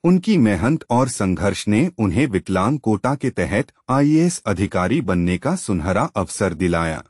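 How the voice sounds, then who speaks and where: A man's voice: on the low side, big pitch swings, measured pace, darkish tone, breathy edge, dry recording, studio quality. A man narrating a scripted motivational story in an earnest, emphatic storytelling manner.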